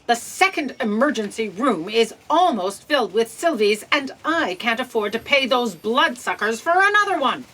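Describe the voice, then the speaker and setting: A woman playing a brisk, callous, penny-pinching boss in a comedic sci-fi audio drama, bossy and matter-of-fact. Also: a little high, very animated, bright, forceful tone, heavily acted, rough recording.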